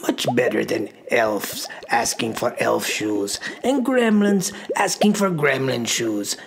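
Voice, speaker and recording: A woman playing an eager, odd water troll shoemaker with a gravelly voice in a children's radio play. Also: extremely low pitch, animated, takes it slowly, a crowd in the background, heavily acted. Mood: pleased, explaining. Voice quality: gravelly, creaky. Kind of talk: children's radio theater acting.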